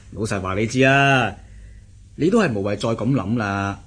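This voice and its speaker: An actor playing a sly, teasing middle-aged adviser in a radio drama, speaking Hong Kong Cantonese. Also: male voice, fairly low pitch, unhurried, a bit bright, breathy edge. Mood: knowing, teasing.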